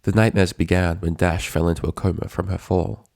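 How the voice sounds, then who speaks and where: A man reading a scripted story as narrator, in a measured, dramatic storytelling manner. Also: deep voice, steady tone, gravelly, a little mellow, dry recording, studio quality.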